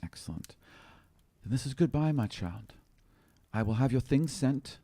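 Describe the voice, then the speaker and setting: Man as soft-spoken, solemn noble mother. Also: medium pitch, animated, unhurried, slightly rough, dry recording, pitched above the performer's own voice. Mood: gentle farewell. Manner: hushed, solemn. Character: tender, sorrowful noble foster mother, formal and protective.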